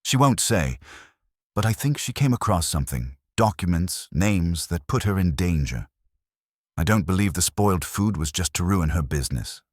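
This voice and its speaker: A man's voice: deep voice, animated, normal speed, dry recording, clean studio sound. A man voicing a cool, composed, assertive female publicist in a detective audiobook. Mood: insistent.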